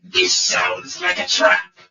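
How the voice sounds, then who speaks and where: A man's voice: slow, sharp tone, heavy reverb, highs cut off. A man voicing a scheming, suspicious robot lieutenant in an audio drama. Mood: alarmed suspicion. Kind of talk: fan audio drama acting.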